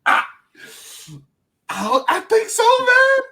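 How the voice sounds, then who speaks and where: A man's voice: very high-pitched, wildly swooping pitch, extremely slow, vocal fry, bright, forceful tone. A man hosting a sports podcast, chatting casually and emphatically about soccer in an informal way. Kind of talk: casual chat, soccer analysis.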